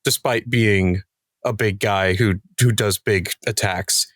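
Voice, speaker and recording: A man's voice: lowish voice, fairly even intonation, slow, fairly bright tone, no room sound, clean studio sound. A man talking casually as a cast member in a podcast panel, telling stories with acted-out asides. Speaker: podcast cast member discussing their show.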